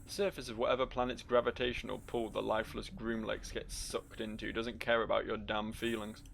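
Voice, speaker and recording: A man's voice: on the low side, little pitch movement, brisk, gravelly, a bit bright. A man hosting a game playthrough with casual, wry, sweary commentary. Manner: wry, sweary, reads game text aloud. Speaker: gaming video host. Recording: faint noise, game ambience.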